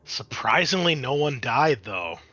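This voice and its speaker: A man's voice: medium pitch, sing-song, unhurried, sharp tone, no room sound, muffled recording. A man working as a game master, narrating a tabletop role-playing game in a conversational, improvised way.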